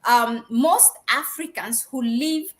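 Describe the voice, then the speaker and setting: A woman hosting a discussion interview, putting questions in a halting, roundabout way. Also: fairly high pitch. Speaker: interview host.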